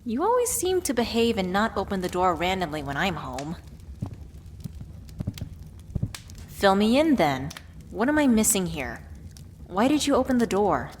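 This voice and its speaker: Casting: woman as fretful, loving witch for ASMR roleplay, mid-pitched, playfully exasperated tender scolding, audible ambience behind. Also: sing-song, moderate pace, close to an everyday voice. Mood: earnest concern. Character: worried, affectionate witch scolding her beloved partner. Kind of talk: ASMR roleplay audio drama. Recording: clear background ambient noise.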